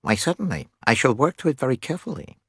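A man plays a thin, bespectacled doctor in an audiobook, brimming with scientific zeal. The voice is pleasantly nasal, lifted in pitch and very animated. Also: quick pace, creaky, slightly rough, dry recording, clean studio sound, full character voice. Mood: eager. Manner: enthusiastic, pleasant.

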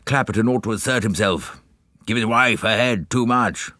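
Man doing a hoarse, quavering old colonial gentleman. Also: fairly low pitch, normal speed, dry recording, studio quality. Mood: scornful. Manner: crusty, blunt.

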